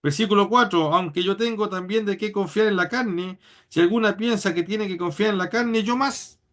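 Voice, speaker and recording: A man preaches in a high voice, his plain throughout. He teaches earnestly and haltingly, often repeating words as he gathers his thoughts during a church sermon. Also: a bit bright. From Chile.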